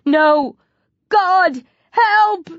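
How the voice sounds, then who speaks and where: A woman's voice: extremely high pitch, drawn-out words, no echo, highs cut off, pitched above the performer's own voice, full character voice. A woman voicing a terrified teenage boy in an audiobook, wailing and pleading for his life. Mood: screaming. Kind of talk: audiobook character dialogue.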